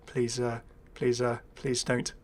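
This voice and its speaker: A man's voice: mid-range voice, monotone, slow, a little hiss or hum. A man hosting a gaming video, giving casual, rambling, chatty commentary.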